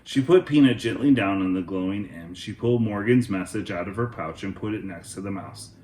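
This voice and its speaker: A man hosting a children's read-aloud, narrating a storybook in a deliberate, expressive way. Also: fairly low pitch, roomy sound.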